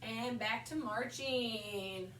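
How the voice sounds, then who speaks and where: Young woman, fitness instructor, bright and slightly nasal, called from across an echoey room. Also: fairly high pitch, a little hiss or hum. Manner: upbeat instructing cues, brief pauses between moves. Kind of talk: guided exercise class. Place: large indoor wellness room with wood floor. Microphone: far camera on a tripod. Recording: roomy echo, voice from far off.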